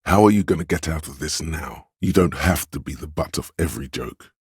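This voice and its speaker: An actor playing a commanding, domineering inner voice of authority in a video game, speaking with a very deep, resonant bass in a measured, imperious manner. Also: male voice, darkish tone, breathy edge, dry recording, clean studio sound, heavily acted. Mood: stern, scolding. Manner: imperious, measured, scolding and goading. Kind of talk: dramatic game narration, inner-voice lines.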